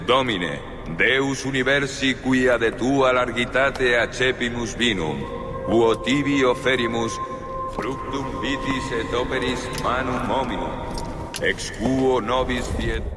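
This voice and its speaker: An actor playing a reverent priest reciting a solemn blessing in a video game, in a long, cavernous reverb. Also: male voice, medium pitch, over loud music, close to an everyday voice. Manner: reverent, measured. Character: solemn male priest chanting a Latin blessing.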